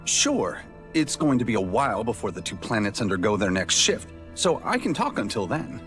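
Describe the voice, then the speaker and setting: An actor playing a breezy, blunt know-it-all informant in a video game, explaining in an offhand way. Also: male voice, fairly low pitch, big pitch swings, normal speed, fairly bright tone, soft background music. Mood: easygoing. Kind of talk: video game cutscene voice acting.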